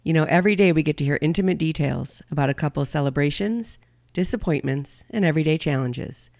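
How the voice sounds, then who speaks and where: Woman, middle-aged, creaky vocal fry, dry close recording, podcast co-host, prepared delivery. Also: deep voice, fast talker, darkish tone, no room sound. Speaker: podcast co-host, couples therapist.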